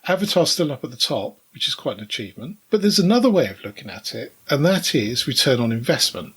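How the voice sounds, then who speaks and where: A middle-aged or older British man co-hosting a casual podcast, telling anecdotes in a measured way with wry asides. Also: medium pitch, sing-song, fairly bright tone, breathy edge. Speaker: podcast co-host.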